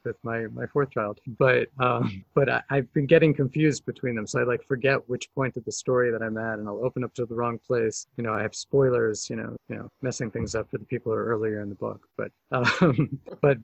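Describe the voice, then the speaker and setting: A middle-aged man with a creaky, fry-edged voice explains in a thoughtful, halting way. He corrects himself as he goes. The recording is dry and a little dull. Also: mid-range voice, fairly even intonation, no echo. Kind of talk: casual explanatory discussion.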